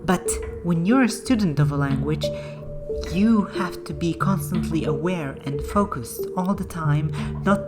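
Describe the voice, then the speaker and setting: A woman with a notably low voice narrates in a calm, measured, explanatory way. Her scripted voice-over runs over a clear music bed. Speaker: language-learning channel host. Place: voice-over, not in the game.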